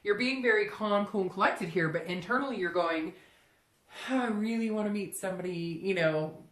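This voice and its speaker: A woman's voice: medium pitch, moderate pace, fairly bright tone. A woman giving a casual, unscripted tarot reading straight to the listener, conversational and often slipping into imagined first-person quotes. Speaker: tarot reader hosting a reading. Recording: roomy, echoing room sound.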